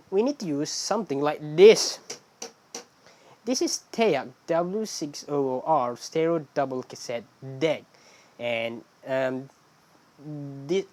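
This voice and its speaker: Low-voiced woman explaining casually. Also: darkish tone. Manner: non-native English with loose grammar. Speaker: video host.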